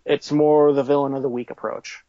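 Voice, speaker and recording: A man's voice: mid-range voice, flat delivery, moderate pace, mellow, no room sound, highs cut off. A man being interviewed about his toy company, talking in a casual, conversational way.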